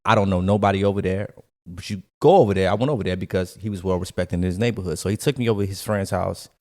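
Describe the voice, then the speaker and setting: A man from co-hosting a podcast, telling stories in a casual, slangy and animated way. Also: low-pitched, fast. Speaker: podcast co-host and storyteller. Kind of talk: casual conversational storytelling.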